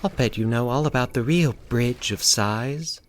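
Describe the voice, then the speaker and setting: A man voicing a childlike, lonely woman with a dreamy, wistful and excitable manner in a scripted horror story. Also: mid-range voice, measured pace, voice pushed up, lightly acted. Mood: dreamy, eager. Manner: breathless, wistful, insistent.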